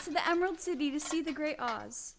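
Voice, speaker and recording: A woman's voice: high voice, animated, fairly quick, gravelly, background noise. A woman playing a polite, plucky young farm girl in an audio play. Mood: polite, earnest.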